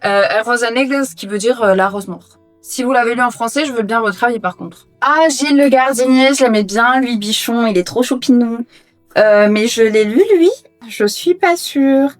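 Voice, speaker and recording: Chatty higher-voiced woman tidying books. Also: moderate pace, faint music behind. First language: Portuguese. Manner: chatty, self-mocking, playful asides. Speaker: book vlogger. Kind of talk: casual chat while tidying. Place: her own hobby room.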